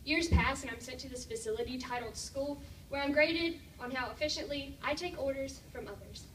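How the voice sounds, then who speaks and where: Teen female slam poet, high-pitched and emphatic, recorded at a distance in an echoey room. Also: normal speed, fairly bright tone, a little hiss or hum. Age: 16, teenager. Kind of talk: dramatic spoken-word poetry performance.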